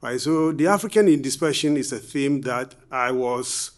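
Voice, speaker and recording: A man from Ghana giving a conference lecture, speaking in a measured way with frequent fillers. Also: mid-range voice, animated. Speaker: guest speaker. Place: conference hall.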